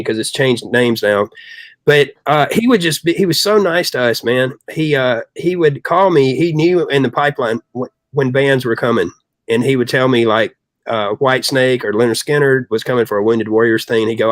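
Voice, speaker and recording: A middle-aged man talks in a chatty, long-winded storytelling style. His voice sits at an average pitch for a man. The sound is dry, with almost no room in it. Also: no room sound. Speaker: rock singer, guest. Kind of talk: casual anecdotal storytelling.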